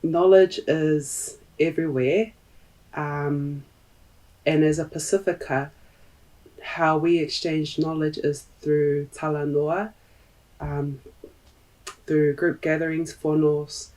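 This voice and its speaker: Slow, thoughtful New woman. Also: low voice, flat delivery, darkish tone, far from the mic, rough recording. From New Zealand. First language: Tongan. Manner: thoughtful, pausing often with fillers. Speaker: podcast guest. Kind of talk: reflective interview talk.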